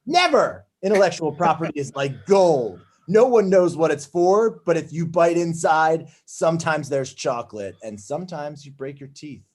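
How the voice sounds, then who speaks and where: A man's voice: fairly high pitch, expressive. A man playing a pompous, sentimental network executive in a comedy table read, in a theatrical way. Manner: slow, theatrical.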